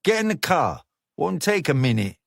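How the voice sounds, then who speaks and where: A man voicing a cocky, flashy male gang leader who talks in slang, smooth and menacing. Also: on the high side, big pitch swings, normal speed, no room sound, clean studio sound, voice pushed up, exaggerated voice. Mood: coaxing. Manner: coaxing street slang, persuasive.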